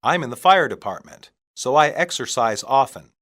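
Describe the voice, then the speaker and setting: Male language-lesson reader, mid pitch, animated intonation, deliberate delivery in separate phrases for learners. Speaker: English teacher reading practice phrases. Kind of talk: scripted language-lesson reading.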